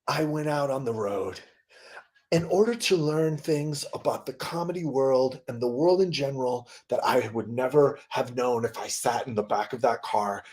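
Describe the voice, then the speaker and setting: A man acts an earnest son with big dreams in a comedy sketch. He sounds stubborn and declaims his words deliberately. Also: medium pitch, a little mellow, close to an everyday voice.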